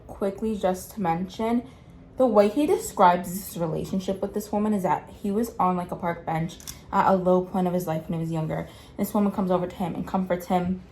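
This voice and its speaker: Young woman vlogging, rambly and blunt, lots of like, swears, voice shoots up when she's annoyed. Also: slight background noise. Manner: blunt, swears, many "like" fillers; sudden jumps up in pitch when worked up. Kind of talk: casual drama commentary.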